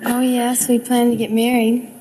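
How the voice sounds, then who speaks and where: A woman's voice: a little high, completely flat delivery, normal speed, warm tone, slight background noise, poor audio. A young woman contestant on a radio quiz, casually answering the host's questions. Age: young adult.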